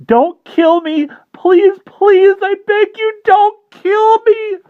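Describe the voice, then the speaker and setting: A man voicing a terrified chubby boy who sobs and begs in shrill, wailing pleas, recorded dull and dry. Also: slow, no room sound. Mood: panicked begging. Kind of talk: audiobook character voice.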